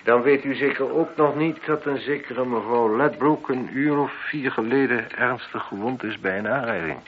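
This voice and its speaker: An actor playing a stern, probing police inspector in a radio play, speaking with insistent authority. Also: male voice, medium pitch, moderate pace, close to an everyday voice. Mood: pressing, accusing. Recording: dull, noisy old radio recording.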